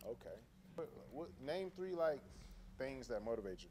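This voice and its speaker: A man's voice: mid-range voice, very animated, moderate pace, mellow, a little hiss or hum. Playful, teasing man hosting a show. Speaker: dating show host. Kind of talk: casual interview. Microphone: handheld mic.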